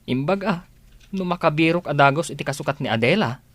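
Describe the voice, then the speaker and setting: A man's voice: fairly high pitch, animated, a little fast, no room sound, close to an everyday voice. An actor playing a respectful, gossipy younger village man in a radio-style drama. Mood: gossiping.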